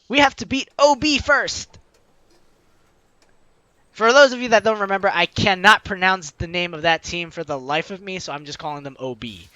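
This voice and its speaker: Male gaming host: bright high voice, jokey commentary and read-aloud narration, soft music behind. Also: no room sound. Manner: jokey asides in reaction to the game. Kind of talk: let's play commentary mixed with reading game narration aloud.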